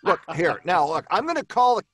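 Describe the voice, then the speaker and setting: A man's voice: high-pitched, big pitch swings, a little fast, a bit bright, dry recording, heavily acted. An actor playing an irritable, frazzled male writer in an old time radio drama, blustering and stammering with exasperation. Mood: nervous, dramatic.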